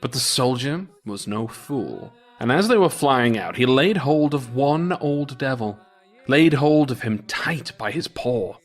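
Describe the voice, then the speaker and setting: Man, storyteller, dramatic folktale narration, expressive, mid-pitched, very lively intonation. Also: measured pace. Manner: theatrical, expressive. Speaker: storyteller, podcast host.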